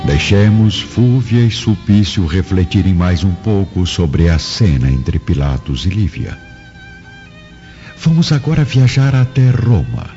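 Male storyteller for a radio novel, dark and dramatic, lo-fi recording with music underneath. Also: low voice. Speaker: narrator. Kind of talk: dramatic scripted narration of an audio novel. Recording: dull lo-fi recording over music.

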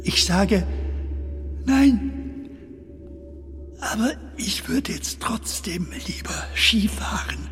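Echoey radio drama w music, a confused curious comedian, halting n slow. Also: male voice, high-pitched, lively intonation, full character voice. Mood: wistful, hushed. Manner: halting, puzzled, questioning. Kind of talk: German radio play acting. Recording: long cavernous reverb, loud music underneath.

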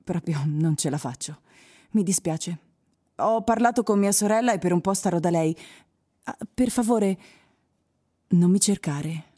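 An actress playing a fed-up wife, exasperated and emphatic, in a radio drama. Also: female voice, lowish voice, unhurried, long pauses, warm tone. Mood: agitated. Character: fed-up wife leaving her husband by recorded message.

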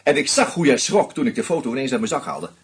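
An actor playing a shrewd screenwriter who methodically unmasks a killer, with a husky voice and dry irony. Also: male voice, medium pitch, big pitch swings, fast talker, full character voice. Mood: accusing. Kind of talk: radio play acting.

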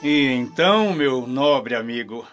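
A man's voice: medium pitch, animated, slow. A man playing a stately Roman emperor addressing a noble friend in a radio drama. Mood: cordial, stately. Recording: dull lo-fi radio drama recording. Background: faint music.

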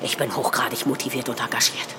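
An actress playing a precarious culture worker in a declamatory spoken-word performance. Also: female voice, very deep voice, level tone, quick pace, noisy background. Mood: insistent. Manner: declamatory, repetitive. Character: female precarious culture worker reciting slogans and theory. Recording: long hall reverb with loud music.

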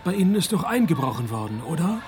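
A deadpan, higher-voiced male detective. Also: fast, soft background music. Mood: confronting. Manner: deadpan, laconic. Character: wry, cynical, unflappable male private detective narrator. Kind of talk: noir-parody radio play narration and dialogue.

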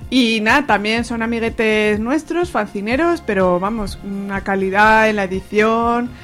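A woman's voice: on the high side, measured pace, fairly bright tone, soft background music. A woman from co-hosting a casual radio review chat in a colloquial, playful way. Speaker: radio show co-host.

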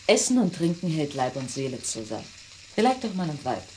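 A cool, scheming wife in a radio play, with a very low voice that moves widely in pitch. She probes dryly and decisively, over faint background noise. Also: very animated, brisk, darkish tone, low-quality recording. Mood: dry, probing. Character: cool, probing wife, scheming former murder plotter. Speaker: radio drama actor. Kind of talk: naturalistic German radio drama acting.

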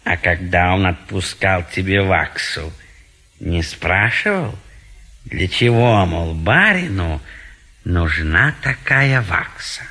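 An actor playing a fussy, indecisive gentleman bachelor in a classic comedy audio play, hesitant and given to repeating himself. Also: low-pitched, expressive, slow, husky, full character voice. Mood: fretful musing.

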